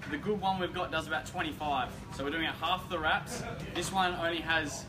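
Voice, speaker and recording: Young Australian male shop presenter, slightly high voice, informal and explanatory, recorded at a distance. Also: fairly quick, music in the background. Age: young adult. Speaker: fishing shop staff presenter. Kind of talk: casual live knot demonstration. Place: indoor fishing tackle shop. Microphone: distant camera microphone. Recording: distant mic, loud shop background noise.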